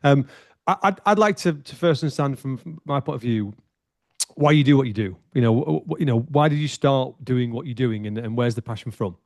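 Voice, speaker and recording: A man hosting a podcast, asking questions in a halting way and repeating words as he goes. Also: mid-range voice, expressive, gravelly, darkish tone, no echo, clean studio sound. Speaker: podcast host. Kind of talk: interview questions.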